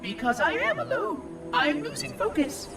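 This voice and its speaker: An ancient, slowly unravelling robot caretaker from a video game. The synthetic voice is very high and swings dramatically in pitch. It speaks in a prim, formal, self-musing way, ringing with echo. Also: male voice, huge pitch swings, recorded from a distance, full character voice. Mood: wistful, distracted. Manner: fussy, formal, musing to itself. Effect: synthetic robotic voice processing with echo. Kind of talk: scripted dramatic game monologue. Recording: echoing processed voice under loud music.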